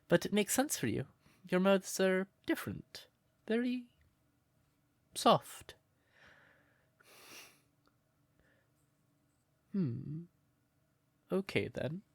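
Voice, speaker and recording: Man acting a shy, slow-spoken crow turned human. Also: on the high side, no echo, exaggerated voice. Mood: curious, innocent. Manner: hesitant, stammering, gentle and breathy. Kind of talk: soft roleplay audio, intimate character acting.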